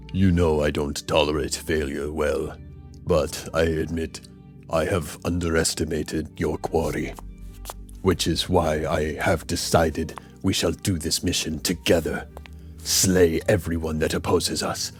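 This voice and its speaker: A man playing an imperious villain who styles himself a god, formal, pompous and commanding, in a radio drama. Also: rumbling low.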